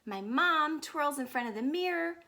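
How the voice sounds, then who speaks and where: A woman's voice: high voice, animated, moderate pace, bright, forceful tone, exaggerated voice. A woman voicing an enthusiastic little girl from a storybook who loves all things fancy, speaking dramatically and explaining grand words. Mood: excited.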